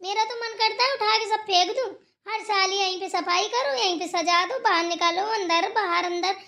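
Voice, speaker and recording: Woman voicing a very squeaky, whining young daughter-in-law. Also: moderate pace, pushed and bright, exaggerated voice. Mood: grumbling. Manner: complaining, melodramatic, rustic turns of phrase. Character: whining, work-shy young daughter-in-law in a family cartoon. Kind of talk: cartoon family drama dialogue.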